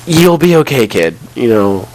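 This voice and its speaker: A man's voice: mid-range voice, big pitch swings, unhurried, a little mellow, low-quality recording. A nineteen-year-old man telling stories casually while gaming, exasperated and acting out remembered exchanges. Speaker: gaming video host. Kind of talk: casual storytelling while playing a video game.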